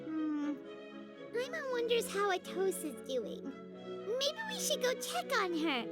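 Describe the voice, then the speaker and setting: A woman's voice: very high voice, sing-song, slow, exaggerated voice. A woman voicing a tiny, cheerful, childlike fairy companion in an anime-style video game, with music behind her. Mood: thoughtful.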